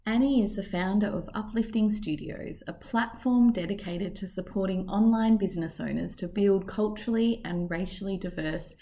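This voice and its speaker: A woman's voice: medium pitch, vocal fry, dark tone, slight background noise. A woman hosting a podcast, reading a scripted introduction and then asking questions, with an upbeat and enthusiastic delivery. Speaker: podcast host.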